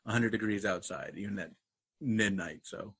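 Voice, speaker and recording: A man's voice: on the low side, moderate pace, fairly bright tone, husky. A young man co-hosting a casual chat, talking easily with many fillers and laughs. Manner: chatty, laughing, filler-heavy. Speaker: podcast co-host.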